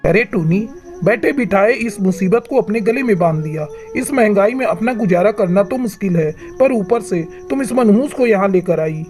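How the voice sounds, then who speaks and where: A woman's voice: mid-range voice, lively intonation, moderate pace, dark tone, close to an everyday voice. A woman voicing a callous, grumpy male bird in a cartoon moral story, scolding and complaining. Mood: bitter, angry. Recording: music behind the voice.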